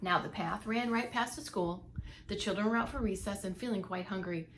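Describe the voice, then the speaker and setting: A woman narrating a children's story aloud like a preschool teacher, with animated, dramatic emphasis. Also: mid-range voice, fairly quick, background noise.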